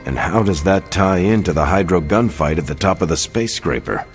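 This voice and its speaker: A man's voice: deep voice, expressive, normal speed, faint music behind. A man acting as an earnest, puzzled hero in a video game cutscene, inquisitive and matter-of-fact. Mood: puzzled, curious.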